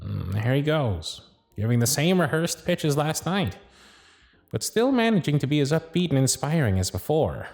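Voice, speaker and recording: Casting: male actor as gruff, fond elf boss for ASMR roleplay, sardonic, very lively intonation, dry close sound, music behind. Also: a little high, normal speed, no echo, full character voice. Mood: musing, admiring. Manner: bossy, teasing, sardonic.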